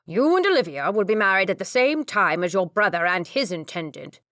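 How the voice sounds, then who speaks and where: A woman's voice: medium pitch, big pitch swings, normal speed, fairly bright tone, no room sound, studio quality. A woman voicing a domineering, scheming older nobleman who gives orders in a lazy drawl, in a storybook reading. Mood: commanding.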